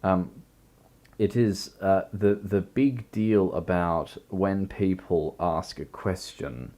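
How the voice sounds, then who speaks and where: A man with a very low, croaky voice hosts a reflective livestream chat. He talks slowly and musingly, stacking up long lists of thoughts as he goes. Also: steady tone, dark tone. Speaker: livestream host.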